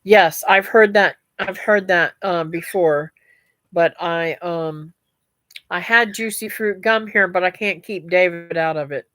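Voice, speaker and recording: A middle-aged woman rambles to her live-stream viewers, calling them "guys" and "y'all." She talks slowly in a lowish voice that sounds a little stuffy and nasal. The sound is close and dry. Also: fairly bright tone, no room sound. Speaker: homestead vlogger reading live comments. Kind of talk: casual live-stream chat.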